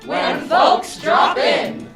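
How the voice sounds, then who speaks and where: A woman acting a cheerful pitchwoman in an old-time radio advertisement, in a roomy recording. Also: very animated, extremely slow, full character voice. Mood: cheerful, selling. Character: cheerful vintage radio wine jingle pitchwoman. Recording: roomy, loud music and crowd sound.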